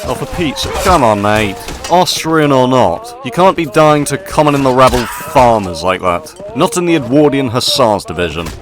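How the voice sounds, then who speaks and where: A man's voice: medium pitch, lively intonation, moderate pace, few pauses. A man commentating gameplay in character, using pompous, posh officer bluster and dry asides. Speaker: gaming video host. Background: game music.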